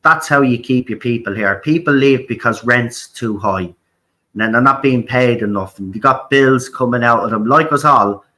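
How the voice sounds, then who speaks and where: A man's voice: medium pitch, moderate pace, bright, forceful tone. An Irish man from Ireland hosting a talk show, speaking bluntly with repeated words, swearing and frequent "right?". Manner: blunt, repetitive, swears, keeps asking "right?". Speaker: host. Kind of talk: opinionated political talk.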